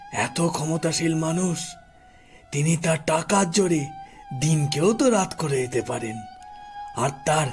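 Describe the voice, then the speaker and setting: A man acts out an old village grandfather, grave and wronged, in an audio horror drama. He speaks very slowly with halting pauses in a fairly high male voice, weighted with grief and accusation, over clear background music. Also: darkish tone, full character voice. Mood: bitter grief. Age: older. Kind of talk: audio horror story acting.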